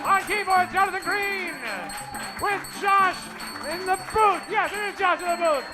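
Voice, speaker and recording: A man's voice: extremely high pitch, steady tone, a little slow, loud music behind the voice. A man hosting and narrating an old-time radio comedy serial with a dramatic announcer delivery. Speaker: host and narrator.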